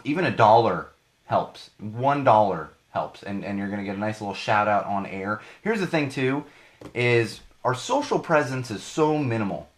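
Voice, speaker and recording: Hoarse, chatty male podcast host. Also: on the low side, expressive, moderate pace. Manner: chatty, rambling, self-correcting. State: slightly hoarse, losing his voice. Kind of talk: live podcast monologue with plugs.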